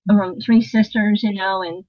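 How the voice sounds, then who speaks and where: A woman telling a long story in a casual interview, animated, acting out what people said and laughing often. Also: mid-range voice, measured pace, dry recording, dull audio. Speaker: interview guest, drummer and singer.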